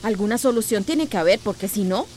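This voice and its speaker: A woman's voice: medium pitch, lively intonation, fairly quick, close to an everyday voice. A woman acting an earnest, impatient schoolteacher in a radio drama. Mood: exasperated, firm. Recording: faint background noise.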